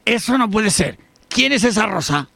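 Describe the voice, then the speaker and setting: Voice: mid-range voice, animated, normal speed, gravelly, pushed and bright, dry recording, faint music behind, exaggerated voice. An actor playing a greedy, scheming, sarcastic eldest heir in a melodramatic radio soap. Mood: outraged. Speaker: actor in an amateur radio drama.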